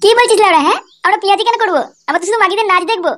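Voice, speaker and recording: Squeaky, shrill, mouthy cartoon guy. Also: rapid-fire, background noise. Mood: cheeky, indignant. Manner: indignant, mouthy. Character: shrill cheeky quarrelsome cartoon character. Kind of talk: comedy cartoon dialogue.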